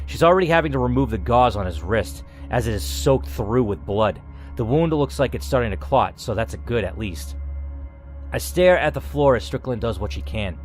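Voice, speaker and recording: A man with a mid-pitched voice hosts a game playthrough. He reads the story dramatically and drops into casual commentary, with music underneath. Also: animated, normal speed. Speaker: gamer, channel host. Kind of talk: reading game narration aloud with casual commentary.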